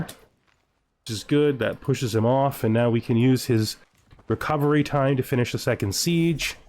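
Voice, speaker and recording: A man hosting a gaming video, thinking aloud in casual commentary and playfully gloating with in-character asides. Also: a little high.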